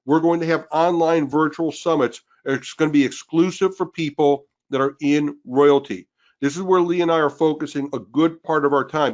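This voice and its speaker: A man co-hosting a webinar who is losing his voice, pushing a sales pitch and calling out names to rally listeners. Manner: pushy, rallying, calls out names. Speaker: webinar co-host. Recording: dull lo-fi webinar audio.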